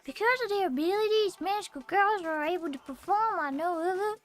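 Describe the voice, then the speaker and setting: A woman voicing a formal female magic teacher in a mock Scottish accent, lecturing slowly. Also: very high-pitched, soft background music. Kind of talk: reading a visual novel aloud.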